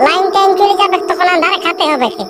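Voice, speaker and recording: Shrill, fast-talking grumbling cartoon villager. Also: very noisy. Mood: nagging, worried. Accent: rural Purulia Bengali. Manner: squabbling, exclamatory, earthy village banter. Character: excitable, grumbling village man in a comic cartoon. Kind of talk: comic cartoon dialogue.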